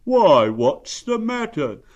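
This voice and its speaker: Man acting out storybook bear, friendly, simple-minded, earnest, puzzled, raised pitch, slow pace. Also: big pitch swings, darkish tone, lo-fi sound, heavily acted. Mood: puzzled, friendly concern.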